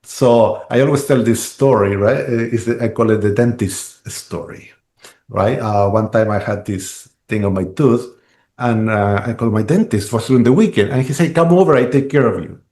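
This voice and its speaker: A man's voice: medium pitch, moderate pace, dark tone, echoey room. A man, a guest on a podcast interview who rambles with restarts and keeps asking "right?". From Chile.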